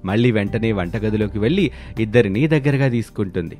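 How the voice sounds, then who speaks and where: A man narrating a scripted animated story as its storyteller. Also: fairly low pitch, fast talker. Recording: voice over prominent music bed.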